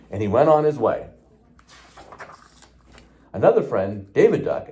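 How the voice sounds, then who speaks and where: Man reading a storybook, very lively pitch. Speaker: police officer reading a children's book. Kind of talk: storybook read-aloud.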